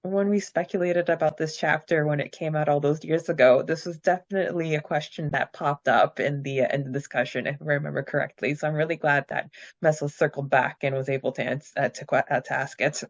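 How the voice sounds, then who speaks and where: Woman podcast co-host with a low voice and a dry, dull-toned recording. Chatty and upbeat, reading fan questions aloud. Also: fairly even intonation, a little fast, dry recording. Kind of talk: casual chat and reading listener questions aloud.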